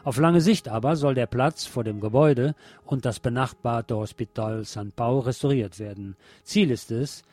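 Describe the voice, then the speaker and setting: A man's voice: medium pitch, moderate pace, a little mellow, dry recording. A man presenting scripted local radio news as a narrator. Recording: music playing behind the voice. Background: background music.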